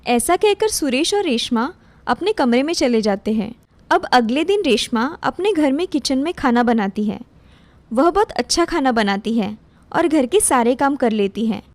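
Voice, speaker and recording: A woman's voice: high voice, lively intonation, normal speed, no room sound. A woman reading a scripted moral story as its narrator.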